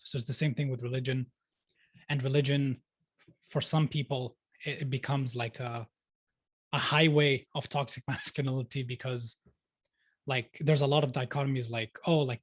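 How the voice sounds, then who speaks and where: Young man, casual podcast guest, talkative, lots of "like", mid pitch, dry close recording. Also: dry recording. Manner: chatty, fills talk with "like", laughs easily. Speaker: podcast guest, sociologist and life coach. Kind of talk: casual interview chat.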